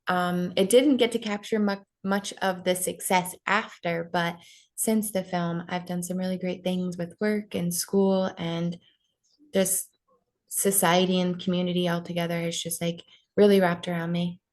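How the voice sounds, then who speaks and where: Flat-voiced young woman, candid and hesitant. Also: lowish voice, measured pace. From Maine, USA. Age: 20s. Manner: frequent ums and likes, candid. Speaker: podcast guest. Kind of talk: personal interview talk.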